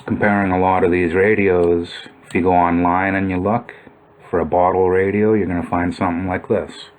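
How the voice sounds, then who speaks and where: A man's voice: sits low, flat delivery, normal speed, a little mellow. A man giving informal explanatory commentary on old photos, musing and thinking aloud as he goes. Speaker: video narrator.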